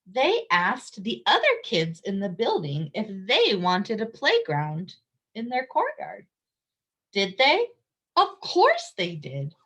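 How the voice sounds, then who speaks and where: A woman's voice: mid-range voice, wildly swooping pitch, slow, fairly bright tone, slightly rough. A woman reading a picture book aloud to young children, explaining things simply and emphatically and asking her listeners questions.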